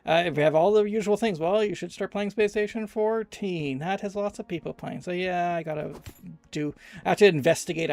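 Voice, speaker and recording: A Canadian man with a gravelly, fry-heavy voice that sits a little high for a man. He talks through a game stream in a loose, rambling way, teasing himself as he goes. Faint game music plays underneath. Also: darkish tone. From Alberta, Canada. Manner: loose, rambling and self-deprecating. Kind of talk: live gameplay commentary while reading chat.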